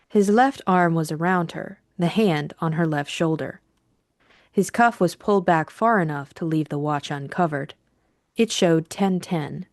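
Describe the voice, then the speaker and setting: A woman reading literary fiction as an audiobook narrator, measured and deliberate in her storytelling. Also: fairly low pitch, darkish tone, dry recording, studio quality.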